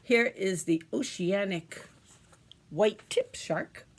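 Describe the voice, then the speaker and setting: A woman's voice: medium pitch, takes it slowly, breathy edge. A woman reading aloud to young children as a storyteller, speaking simply and expressively and often asking them questions.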